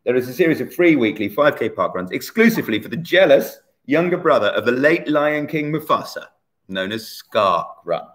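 A man in his forties hosting a casual online quiz from a bright room at home, chatty and teasing, recorded on a laptop webcam. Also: medium pitch, lively intonation, moderate pace. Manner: chatty, teasing, self-mocking. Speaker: quiz host.

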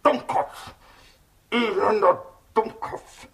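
An actor playing a menacing, grandiose cult master with a commanding, theatrical delivery in a 1960s German radio drama. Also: male voice, high voice, drawn-out words, creaky, a bit bright, exaggerated voice. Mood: scornful. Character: masked male cult master, menacing, imperious, grandiose poisoner. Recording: noisy old radio recording.

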